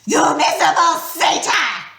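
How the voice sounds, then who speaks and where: A woman voicing a snake-haired female monster who coaxes in a soft, purring tone and turns vicious, read in an echoey room. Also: high-pitched, very animated, unhurried, voice pushed up, full character voice. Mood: furious. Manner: soft purring menace, whispery.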